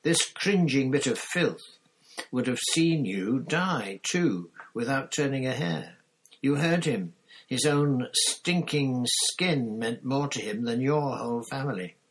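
A man's voice: medium pitch, expressive, takes it slowly, voice pushed up, poor audio. A man voicing a furious, vengeful man in a storybook, snarling and roaring accusations at a traitor. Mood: snarling contempt. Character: furious vengeful man confronting the traitor who betrayed friends.

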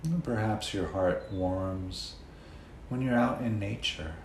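Deep-voiced man guiding a slow meditation. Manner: gentle, unhurried, with long pauses. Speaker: meditation guide. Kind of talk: guided meditation. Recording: roomy echo, faint background noise.

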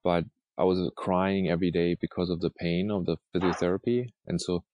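A man from Germany and Norway, an artist answering interview questions in a soft, hesitant way with many fillers and restarts. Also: low-pitched, fairly even intonation, brisk, no echo, dull audio. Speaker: artist guest.

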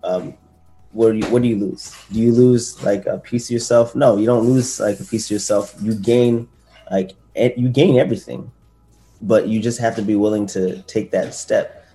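A man's voice: lowish voice, steady tone, normal speed, mellow, soft background music. A man co-hosting a podcast, talking earnestly and loosely about motivation, with many fillers and restarts. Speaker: podcast co-host. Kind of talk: casual motivational chat.

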